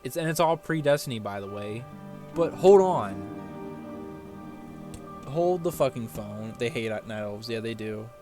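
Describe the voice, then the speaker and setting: A man's voice: medium pitch, expressive, unhurried, long pauses. A man giving casual, ranting gameplay commentary as a streamer, exasperated and sweary. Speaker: game streamer. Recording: game music behind the voice.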